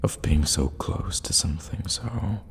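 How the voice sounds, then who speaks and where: A man plays an evil warlock in an intimate ASMR fantasy roleplay, menacing and seductive. His very slow, teasing speech keeps sinking into whispers, with halting pauses for effect. The voice rings in long, cave-like reverb. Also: lively intonation, exaggerated voice. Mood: seductive, probing. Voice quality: breathy low murmur, often whispering.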